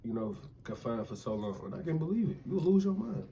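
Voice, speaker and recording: A man chatting casually with his wife, laying out his view in a relaxed, reasoning way. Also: a little high, fast talker, a little hiss or hum. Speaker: co-host, husband. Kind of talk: casual chat.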